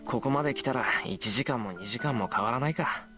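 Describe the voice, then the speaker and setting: An actor playing a good-natured, earnest teenage schoolboy in a Japanese visual novel, low-key and musing, with music behind. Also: male voice, rapid-fire, bright, forceful tone, natural voice. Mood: quiet musing. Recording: background music under voice.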